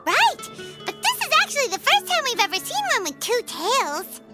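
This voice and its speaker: A woman voicing a tiny, excitable, childlike fairy companion in a video game, squeaky and chirpy. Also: normal speed, faint music behind, heavily acted. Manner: chirpy, speaks of self in third person. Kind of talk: video game character dialogue.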